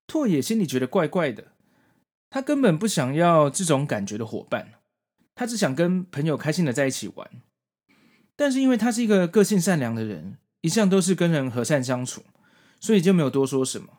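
A man's voice: on the high side, big pitch swings, a little fast, a little mellow. A man hosting a podcast, narrating and commenting on a story from prepared notes in an explanatory way. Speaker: podcast host.

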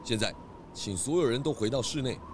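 A man's voice: medium pitch, expressive, brisk, noisy background, lightly acted. A man playing a genial, methodical pig detective inspector in a children's audio drama, questioning in a polite, easygoing way. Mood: businesslike, calm.

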